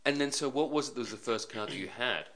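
A man's voice: medium pitch, fast talker, fairly bright tone, rough recording. A man hosting a podcast interview, asking long, rambling questions with repeated phrases. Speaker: podcast host.

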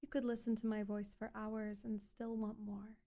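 Woman, very mellow and soft-edged tone, creaky, ASMR hypnotist doctor, soothing, coaxing, repetitive. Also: mid-range voice, flat delivery, normal speed. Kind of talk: ASMR hypnosis roleplay, guided relaxation script.

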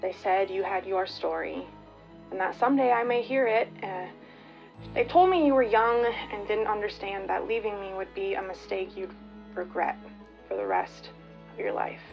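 A woman's voice: mid-range voice, moderate pace, vocal fry, muffled recording, soft background music, close to an everyday voice. A woman playing a nervous, yearning young adopted daughter in a radio play monologue, hesitant and self-correcting. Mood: wistful.

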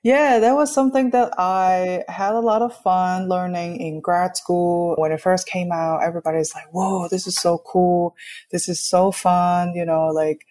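Low-pitched young woman from Hong Kong. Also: fairly even intonation, unhurried, dark tone. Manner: explanatory, often checks with "right?". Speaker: artist, interview guest. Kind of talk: conversational interview, reflective.

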